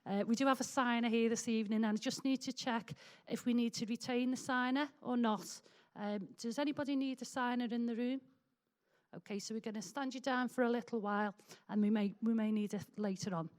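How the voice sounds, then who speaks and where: A woman's voice: fairly high pitch, fairly even intonation, a little fast. A middle-aged woman with a Liverpool accent chairing a public meeting in a hall, chatty and informal as she runs through housekeeping.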